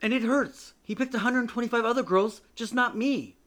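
A man's voice: very high-pitched, moderate pace, a bit bright, pitched above the performer's own voice. A man voicing an obsessive, anxious young woman patient who pleads in breathless outbursts. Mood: pleading.

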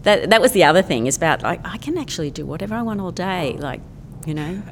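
A woman's voice: fairly low pitch, big pitch swings, quick pace, long reverb tail, a little hiss or hum. A middle-aged woman, a former lawyer and painter, chatting as a podcast guest in an enthusiastic, rambling way with many fillers. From Australia. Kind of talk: casual interview chat.

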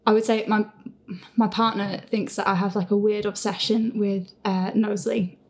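A woman's voice: mid-range voice, fairly even intonation, takes it slowly, long pauses, a little mellow, slight background noise. A woman hosting a casual question and answer chat, giggly, rambling and self-deprecating. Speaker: podcast host, creator and voice actor of the show.

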